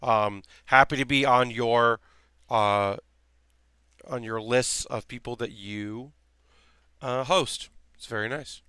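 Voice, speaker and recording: A man's voice: medium pitch, steady tone, slow pace, pauses often, pushed and bright, clear, resonant tone, no echo. Chatty man with a slightly worn voice. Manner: rambling, chatty, many fillers and restarts. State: slightly losing his voice. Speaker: streamer building model kits. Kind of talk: casual live-stream chat while building.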